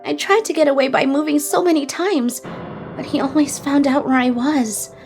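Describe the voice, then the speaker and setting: A woman playing a gentle, troubled young woman in an English manga dub, speaking softly, politely and sincerely. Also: high-pitched, moderate pace, soft background music.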